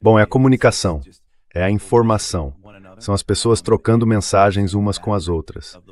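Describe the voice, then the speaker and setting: A man's voice: lowish voice, fairly quick, a little mellow. A man with a synthetic dubbed voice, giving conversational political commentary as a guest.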